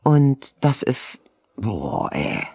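A woman's voice: rumbling low, huge pitch swings, extremely slow, creaky, mellow, breathy edge, dry recording. Woman with a cold, chatty and self-pitying; dry recording. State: has a cold. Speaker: co-host. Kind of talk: casual chat.

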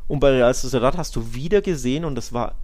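A man's voice: medium pitch, quick pace, darkish tone. A man co-hosting a casual football podcast, giving opinionated, colloquial analysis and repeating points for emphasis.

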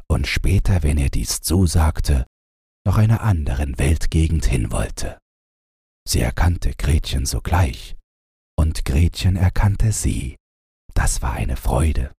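A man narrating a fairy tale as a bedtime audiobook, in a gentle, soothing and deliberate storytelling style. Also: extremely low pitch, breathy edge, no echo, clean studio sound.

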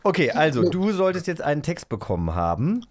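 A man's voice: on the high side, wildly swooping pitch, fairly quick, a crowd in the background. A man co-hosting a podcast in casual, conversational chat. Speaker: podcast co-host.

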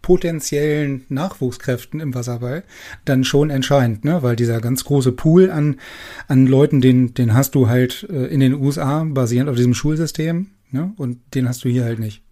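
Mellow man interviewing casually. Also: mid-range voice, moderate pace.

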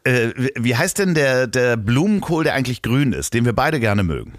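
A man's voice: mid-range voice, very animated, moderate pace, dry recording. Hamburg man chatting, dry close sound. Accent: Hamburg German. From Hamburg, Germany. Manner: slurs some words. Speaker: podcast co-host. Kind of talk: casual chat.

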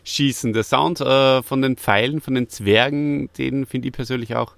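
A man's voice: medium pitch, fairly even intonation, moderate pace, gravelly, no echo. A man with an Austrian accent chatting casually as a podcast co-host in a review.

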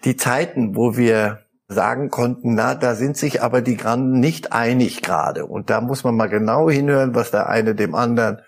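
A middle-aged man with a husky, slightly breathy voice, giving his view as a football pundit on a talk show. He speaks thoughtfully and haltingly, often starting a phrase twice before finding the word. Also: mid-range voice. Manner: thoughtful, halting, repeats words while searching. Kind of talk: studio talk show discussion.

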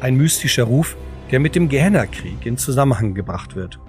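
A man with a mid-pitched voice narrates an audio drama from a script. His delivery is measured and solemn, with music playing behind him. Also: expressive.

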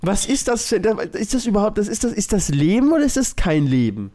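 A man. He talks casually as a podcast co-host, musing out loud and hammering points home by repeating himself. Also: high voice, very animated, fairly quick. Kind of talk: casual chat.

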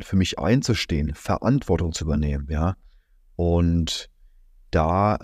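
A man hosting a reflective solo podcast, speaking calmly with many fillers, his voice slightly strained from being a little under the weather. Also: low voice, dry recording, studio quality. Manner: calm, musing, many fillers and "ja". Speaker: podcast host.

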